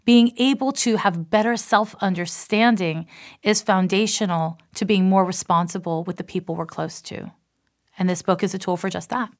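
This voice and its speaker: A woman's voice: mid-range voice, steady tone. A middle-aged woman from Canada whose accent blends Canadian and American sounds. She talks easily and at length, with a mid-range voice and a precise, particular way of shaping her words. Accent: mix of Canadian and American. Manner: particular, deliberate enunciation; chatty, self-described talker. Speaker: astrologer and author. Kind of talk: reflective personal monologue.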